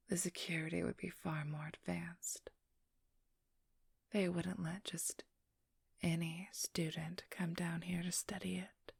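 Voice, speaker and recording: A woman's voice: lowish voice, vocal fry, husky, dry recording, heavily acted. A woman playing a haughty, teasing, ageless vampire thief in an ASMR role-play, whispering breathily and condescendingly close to the microphone. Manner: condescending, teasing, drawn-out delivery. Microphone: close, dry microphone.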